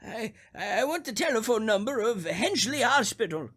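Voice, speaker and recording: A sickly, crotchety old man in a suspense radio play. His voice is husky and sharp, swinging wildly as he stammers and frets. He sounds anxious, demanding and melodramatic. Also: sits high, big pitch swings, normal speed, exaggerated voice. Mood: distraught, indignant. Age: older. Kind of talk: old radio thriller acting.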